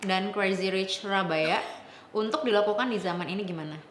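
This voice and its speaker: A woman's voice: mid-range voice, a little fast, slight background noise. A woman in her twenties with an Indonesian accent, talking casually in a group discussion. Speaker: panelist. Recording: roomy, loud music underneath. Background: loud background music.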